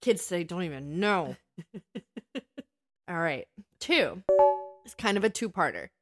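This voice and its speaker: A woman's voice: lowish voice, expressive, slow pace, over loud music. A woman co-hosting a casual story-swapping chat with a friend, teasing playfully and often breaking into laughter as she talks. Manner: laughs often while talking. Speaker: podcast co-host.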